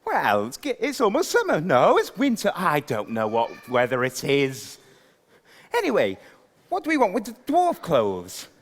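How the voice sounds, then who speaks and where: A man's voice: on the high side, huge pitch swings, unhurried, heavily acted. Wildly swooping, pompous pantomime king. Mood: dithering. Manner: posh, pompous, dithering. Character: pompous, fussy, excitable king and husband. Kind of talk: broad stage pantomime comedy.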